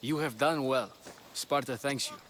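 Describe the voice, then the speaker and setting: A man's voice: mid-range voice, measured pace, a bit bright, a little hiss or hum, natural voice. A man playing a dutiful, unyielding Spartan soldier in a video game, speaking in a formal, matter-of-fact way. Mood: stern, dismissive. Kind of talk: video game cutscene acting.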